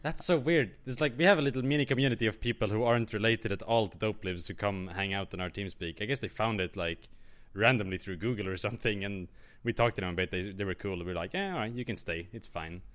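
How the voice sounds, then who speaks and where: A man's voice: lowish voice, quick pace, hardly any pauses, a bit bright. A man hosting a podcast in casual chat, with a joking, teasing manner. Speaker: podcast host.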